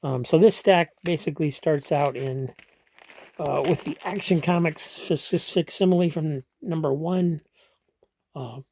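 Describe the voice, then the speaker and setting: A man casually walking through his comic collection room, rambling and explaining with frequent ums and restarts, a bit hoarse as he's losing his voice. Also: a little high, darkish tone. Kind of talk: casual walk-through vlog.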